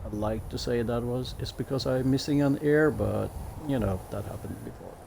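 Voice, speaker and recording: A man's voice: medium pitch, moderate pace, vocal fry, mellow, slight background noise. A man with a Norwegian accent gives easygoing, chatty commentary as a game streamer, with self-mocking jokes. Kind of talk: casual commentary while playing a building game.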